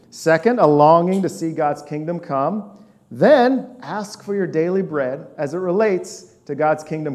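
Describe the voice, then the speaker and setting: Earnest, slightly high-voiced male pastor. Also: darkish tone. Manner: conversational, earnest, tags points with "right?". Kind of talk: sermon.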